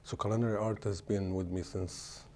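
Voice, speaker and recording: A middle-aged man, an executive chef answering interview questions in a halting way, often restarting as he searches for words. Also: fairly low pitch, steady tone, warm tone, slight background noise. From Lebanon.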